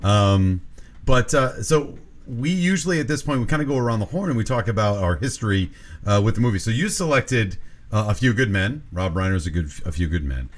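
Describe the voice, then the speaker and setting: A man co-hosting a movie podcast, asking questions in a friendly, halting way full of fillers. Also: lowish voice, big pitch swings. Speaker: co-host. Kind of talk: casual podcast chat.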